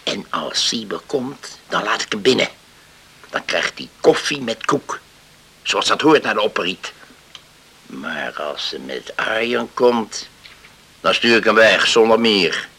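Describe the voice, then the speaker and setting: An actor in a radio play playing a stern, stubborn middle-aged father with a rough voice and a curt, deliberate, unyielding manner. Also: fairly low pitch, sing-song, pushed and bright, heavily acted. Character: stern, stubborn island father guarding his daughter.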